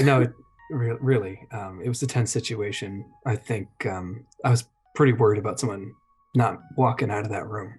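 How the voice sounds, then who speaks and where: A man improvising dialogue as a tabletop roleplaying player, speaking in a measured, hesitant, earnest way. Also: fairly low pitch, darkish tone, breathy edge. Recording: music running behind the voice.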